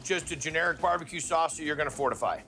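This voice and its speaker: A man's voice: fairly high pitch, quick pace, bright, forceful tone, soft background music. A man in his fifties hosting a food TV show, upbeat and slangy, joking as he cooks in a restaurant kitchen.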